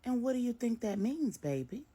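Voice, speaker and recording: A woman's voice: on the high side, big pitch swings, normal speed, dark tone, breathy edge, pitched above the performer's own voice. A woman voicing a blunt, knowing old mother in a story, sassy, emphatic, affectionate but scolding. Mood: motherly, probing. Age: older.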